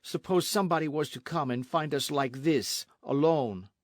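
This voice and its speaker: A man's voice: sits high, sing-song, unhurried, darkish tone, no echo, clean studio sound, pitched above the performer's own voice, heavily acted. A man voicing a proud, outraged young Spanish colonial noblewoman in a storybook, speaking formally and emphatically. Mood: alarmed, firm. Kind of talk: storybook narration with character voices.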